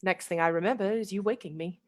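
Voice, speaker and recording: A woman's voice: mid-range voice, quick pace, natural voice. A woman acting a dreamy young Victorian lady in a radio play, airy and wistful as if under a spell. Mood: dreamy, distracted.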